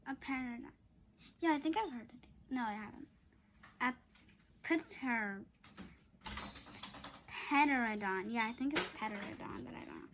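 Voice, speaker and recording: A woman reading a children's chapter book aloud, haltingly, stumbling over long words. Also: a little high, noisy background. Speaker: storybook reader.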